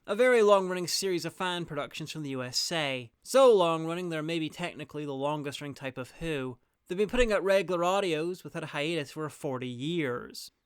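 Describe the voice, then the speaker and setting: A male reviewer with a smooth, slightly high voice. He narrates from a script with wry asides, recorded close and dry. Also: expressive, brisk, few pauses, no room sound. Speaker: video reviewer, host. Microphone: close, dry voice-over mic.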